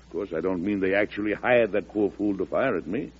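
Casting: aging head of state, low and husky, firm and proud, vintage radio drama. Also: male voice, a little fast. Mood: firm, earnest. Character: aging, firm, proud American president, calm under threat. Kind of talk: old-time radio drama acting. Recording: dull, lo-fi old radio recording.

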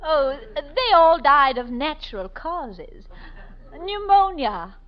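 Glamorous, coy female crook, 1940s radio comedy. High, unhurried delivery, dull vintage recording. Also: big pitch swings, exaggerated voice. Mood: coy, seductive. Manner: theatrical, coy drawl.

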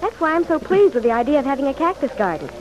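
A perky young wife in a vintage radio serial, her voice high and playful. She has a teasing, spirited way of speaking, over the noise of an old broadcast recording. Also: sing-song, quick pace, darkish tone, low-quality recording.

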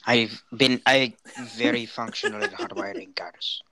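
Man as a deadpan tech geek, dry delivery, animated pitch, crowd chatter behind. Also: a little slow. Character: deadpan adult male sidekick, a tech geek. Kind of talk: tabletop game role-play.

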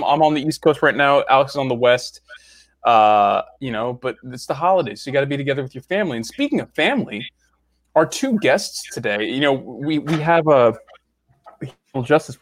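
A young man co-hosting a podcast in casual chat, rambling with restarts and frequent laughs. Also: medium pitch. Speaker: podcast co-host.

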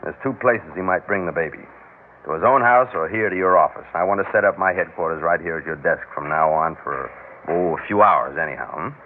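Male actor as police lieutenant, low pitch, calm, reassuring, businesslike, vintage narrow-band radio drama. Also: brisk, slight background noise, close to an everyday voice. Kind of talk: old-time radio drama acting.

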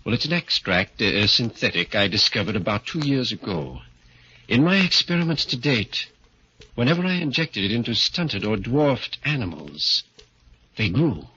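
An earnest, lively-voiced doctor. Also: male voice, on the low side, moderate pace, breathy edge, exaggerated voice. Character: earnest middle-aged male scientist doctor. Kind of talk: 1940s radio drama acting. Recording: dull old radio recording.

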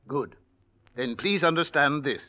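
A man's voice: fairly high pitch, expressive, measured pace. An American man playing a stern, disapproving older uncle in a 1940s radio drama, blunt and severe. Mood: stern, scolding. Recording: muffled old radio recording.

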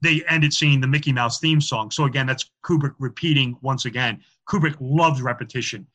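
Guy guesting on a podcast, rambly and chatty, lots of ums, bright sharp tone. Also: mid-range voice, a little fast, lo-fi sound. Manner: chatty, many "um" and "you know" fillers, repeats words. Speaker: podcast guest, author on film symbolism. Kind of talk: casual interview talk.

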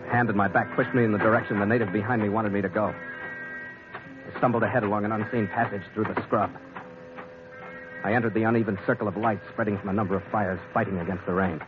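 A man's voice: deep voice, background noise. A man narrating in first person as the skipper lead of an old radio drama, in terse, tense sentences. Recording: tinny old radio broadcast.

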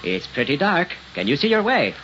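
An actor playing a fretful, gruff but soft-hearted old night watchman in a radio drama, speaking in short clipped phrases. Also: on the high side, very animated, fairly quick. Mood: reluctant. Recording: muffled, noisy old radio recording.

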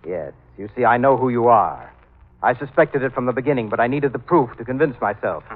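An actor playing a suave, sinister, cultured killer in an old-time radio drama, speaking with mannered, mocking politeness. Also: male voice, medium pitch, expressive, brisk, natural voice. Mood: mocking affability. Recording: tinny band-limited old radio recording, music behind.

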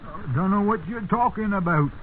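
Man acting a high-voiced, bewildered old English farmer. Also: normal speed, close to an everyday voice. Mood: confused, indignant. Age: older. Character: bewildered, indignant old English farmer, stubborn and plain-spoken. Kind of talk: 1950s radio acting. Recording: narrow, hissy 1950s radio broadcast.

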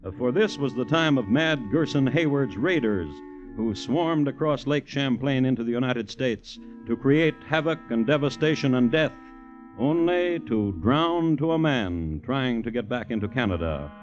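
An actor playing a wry, folksy narrator in an old radio drama, with a lyrical, theatrical delivery. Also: male voice, mid-range voice, lively intonation, normal speed, close to an everyday voice. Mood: solemn, lyrical. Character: wry, folksy male storyteller of a dark tale. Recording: muffled old radio recording, music underneath.

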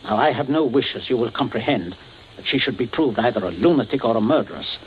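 Man playing icy calculating gentleman giving orders, formal wording, pitch swings about, muffled old radio drama. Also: animated, natural voice. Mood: cold, grave. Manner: measured, deliberate, formal phrasing. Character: cold, commanding, calculating gentleman blackmailing with menace. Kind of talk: 1940s radio suspense acting. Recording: muffled, noisy 1940s radio recording.

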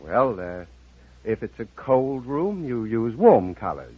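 A man's voice: medium pitch, big pitch swings, slow pace, breathy edge, dry recording. A man answering questions earnestly and a bit hesitantly as a quiz show contestant. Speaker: quiz contestant, interior decorator. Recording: dull old radio recording, faint noise.

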